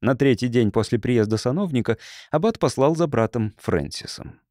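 A man's voice: medium pitch, lively intonation, moderate pace, a little mellow, dry recording, studio quality. A man narrating an audiobook in a scripted literary reading style.

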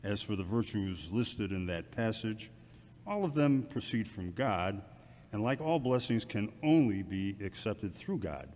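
A man playing a sober, counselling church deacon with a measured, instructive manner in an amateur audio drama. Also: on the low side, animated, a little mellow. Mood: sober, advising. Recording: reverberant, faint background ambient noise.

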